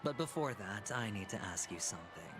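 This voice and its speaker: A man playing a sly young gambler in a video game, smug, unhurried and theatrical in delivery. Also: lowish voice, animated, over music. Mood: cool, probing.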